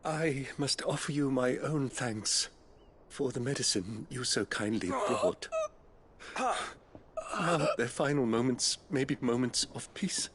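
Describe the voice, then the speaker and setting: Very slow male voice, solemn kindly abbot, formal, gentle, fantasy game, faint ambience. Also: mid-range voice, slight background noise. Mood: solemn, consoling. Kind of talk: video game dialogue acting.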